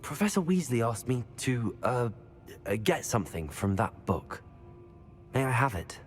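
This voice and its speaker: A man playing a polite, composed student adventurer in a video game, answering briefly and in a measured way. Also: medium pitch, sing-song, faint music behind. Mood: polite, curious. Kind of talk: video game character acting.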